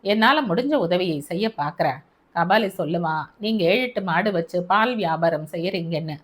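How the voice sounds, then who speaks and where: A woman voicing a calm male police officer who speaks gently and politely to a grieving mother. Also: on the low side, normal speed, voice pushed down. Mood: consoling. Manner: soft, gentle, consoling.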